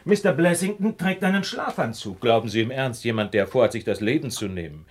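A brilliant radio-play detective with a widely swinging, animated delivery. He lectures calmly and precisely, with polite condescension and dry irony, at a middling pitch. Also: a little fast, breathy edge. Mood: emphatic, lecturing. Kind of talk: German radio play acting.

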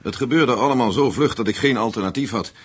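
A man acting in a radio play as a calm American official, justifying himself in a matter-of-fact way. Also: mid-range voice, fast, breathy edge, natural voice. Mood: calmly defensive.

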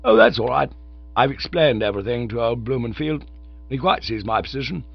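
A man voicing a silly, excitable young upper-class English gentleman in a storybook reading, plummy and wordy. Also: mid-range voice, a little fast, creaky, breathy edge, lo-fi sound, voice pushed up. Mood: breezy, self-satisfied. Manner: plummy, indignant or breezy, wordy.

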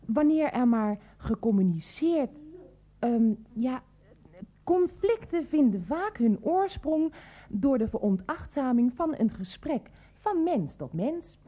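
Casting: prim, moralizing shopkeeper for radio comedy, wordy and insistent, high soft-edged voice with big swoops. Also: female voice, very animated, takes it slowly, heavily acted. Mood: lecturing. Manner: verbose, formal, lecturing. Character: pedantic, insistent greengrocer saleswoman who moralizes at customers. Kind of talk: comic radio play acting.

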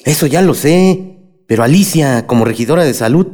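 An actor with a Mexican accent playing an evasive, condescending middle-aged small-town mayor in a radio drama. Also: male voice, a little high, animated, brisk, mellow, natural voice. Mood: guarded, irritated. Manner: officious, dismissive, guarded.

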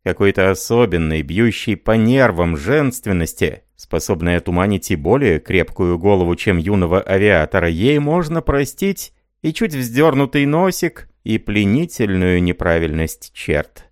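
A man narrating a novel in a measured, literary reading. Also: sits low, animated, no room sound, studio quality.

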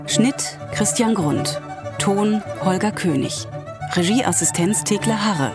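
A woman's voice: mid-range voice, animated, takes it slowly, music in the background. A woman announcer reading scripted radio drama credits in a formal way.

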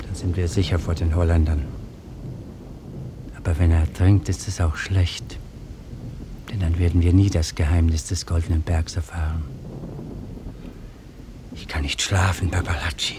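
Man as very deep old Malay ruler, stormy background. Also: noisy background, natural voice. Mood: brooding, weary. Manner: commanding, deliberate. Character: weary, calculating old Malay ruler who wants peace. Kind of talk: German radio drama acting.

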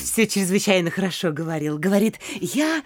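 A woman's voice: on the low side, very animated, a little fast, no room sound. An actress playing a vain, boastful mayor's wife and bossy mother in a comedy audio play, affected and grandiloquent. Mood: smug boasting.

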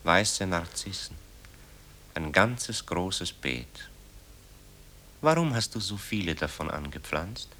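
Deep, sharp, bitter composer son. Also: male voice, very animated, slow pace, long pauses, heavily acted. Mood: subdued. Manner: eloquent, accusing, theatrical declamation. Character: bitter, guilt-ridden composer son confronting his mother. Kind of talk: German radio play acting.

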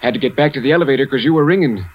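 An actor playing a deferential, casual elevator operator in a 1940s radio drama. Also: male voice, medium pitch, rapid-fire, over loud music, close to an everyday voice. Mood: helpful, matter-of-fact. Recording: dull 1940s radio recording.

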